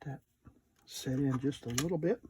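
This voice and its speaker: A man hosting a casual hands-on repair video, unhurried and murmuring to himself as he works. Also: mid-range voice, background noise. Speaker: host of a repair video channel.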